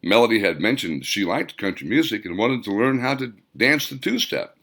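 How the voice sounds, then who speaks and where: A man's voice: lowish voice, very animated, moderate pace, a bit bright. A man reading a scripted story aloud as the author and narrator of an audiobook.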